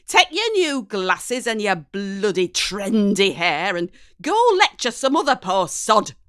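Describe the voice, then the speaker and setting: A woman's voice: mid-range voice, sing-song, takes it slowly, no echo, studio quality. A woman playing a colloquial, defensive mother of a teenager in an audio story. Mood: furious, hurt. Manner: shouting, scolding, colloquial.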